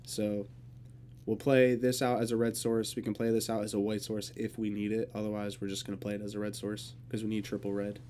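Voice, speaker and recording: A young man with a congested voice and a sore throat, casually talking through a digital card game as he plays and thinks out loud. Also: on the low side, fairly quick, slight background noise. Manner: relaxed, thinking aloud while playing. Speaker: gaming video creator.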